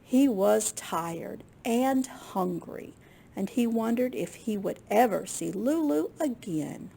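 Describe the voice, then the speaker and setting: A woman with a slightly husky, gravelly voice reading a picture book aloud to young children in an expressive way. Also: a little high, slow, a little hiss or hum. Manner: expressive, with gasps and questions to young listeners. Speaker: children's librarian storyteller.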